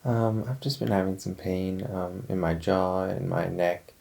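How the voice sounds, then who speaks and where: Very deep, quiet, creaky man. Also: flat delivery, a little slow. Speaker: patient in an ASMR roleplay. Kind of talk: quiet, brief conversational answers.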